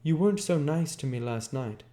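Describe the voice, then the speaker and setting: A young socialite woman, as a male reader puts her on, speaks in a raised pitch with a cool, brisk air. She is curt, in storybook dialogue read aloud. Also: animated, mellow, lightly acted. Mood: reproachful. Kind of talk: acted dialogue in a novel reading.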